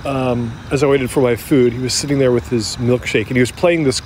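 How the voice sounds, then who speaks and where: An actor playing a middle-aged American man who recalls his youth candidly, in a halting, conversational, self-deprecating way, as if interviewed for an audio drama. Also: mid-range voice, steady tone, darkish tone, slight background noise, lightly acted. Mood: reflective, candid. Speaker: interview subject. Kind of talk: naturalistic audio drama acting as interview reminiscence.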